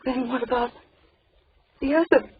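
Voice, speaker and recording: A woman's voice: high voice, moderate pace, breathy edge, no echo. An actress playing a frightened young woman in a 1940s radio horror drama. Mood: fearful. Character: frightened young woman facing a deadly suitor. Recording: dull, dry old radio recording.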